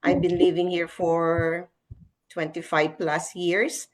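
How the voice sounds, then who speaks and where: A middle-aged woman with a Filipino accent speaks as a panelist, sharing her story off the cuff. Her voice sits a little low, and she talks slowly and haltingly, pausing often. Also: flat delivery, rough recording. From Philippines. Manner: halting, hesitant. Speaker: talk show panelist, online business owner. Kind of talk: unscripted personal testimonial.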